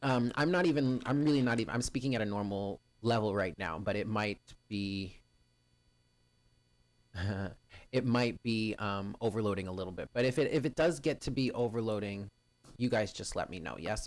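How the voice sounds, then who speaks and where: A man hosting a live stream of readings, chatting casually with viewers and greeting them by name in a loud, carrying voice. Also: fairly low pitch. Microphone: home stream mic, first too quiet, then louder.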